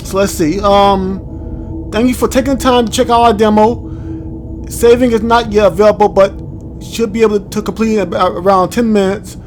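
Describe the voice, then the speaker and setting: A man hosting a gaming video, thinking aloud in an informal, halting way as he comments and reads from the screen. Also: high-pitched, fairly even intonation, soft background music. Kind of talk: casual let's play commentary, reading on-screen text aloud.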